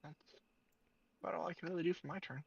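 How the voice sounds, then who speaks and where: A man's voice: fairly high pitch, fairly even intonation, fast, vocal fry, slightly rough, dry recording. A man chatting casually as a player in a tabletop game, on a slightly dull mic.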